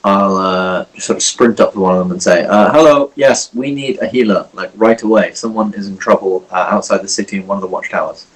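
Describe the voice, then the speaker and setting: A man with a fairly low voice plays in a tabletop game. He talks in a casual way and often stumbles, repeating his words. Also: fairly quick, few pauses, slightly rough, rough recording. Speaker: player.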